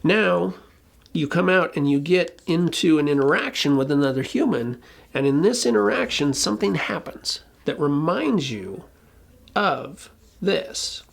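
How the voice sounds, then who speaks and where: Gravelly, husky explainer voice. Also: medium pitch, animated, measured pace. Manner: colloquial, emphatic, occasionally swearing. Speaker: presenter explaining relationship conflict. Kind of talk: informal explainer talk to camera with whiteboard.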